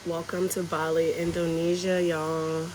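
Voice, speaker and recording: A woman's voice: deep voice, level tone, measured pace, background noise. A young woman from North Carolina with a Southern accent, chatting casually with live-stream viewers from an outdoor hotel patio on her phone. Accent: Southern American. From North Carolina, USA. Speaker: travel vlogger live-streaming.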